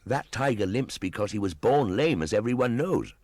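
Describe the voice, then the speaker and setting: A man as a wild wolf-raised boy, gravelly, lifted pitch, proud, curious, storybook acting. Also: lively intonation, normal speed, darkish tone, no room sound, studio quality. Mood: scornful.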